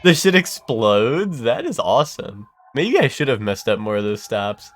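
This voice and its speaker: A man's voice: medium pitch, very animated, moderate pace. A young man streaming a game and chatting casually, congested from a cold, rambling with lots of fillers and restarts and casual swearing. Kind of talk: casual chat while gaming.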